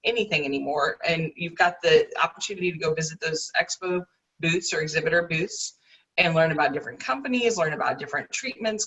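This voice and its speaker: Bright, enthusiastic woman on a video call. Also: on the low side, recorded from a distance, rough recording. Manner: fluent, enthusiastic, well-practiced. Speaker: guest, rare disease advocate. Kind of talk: interview over video call.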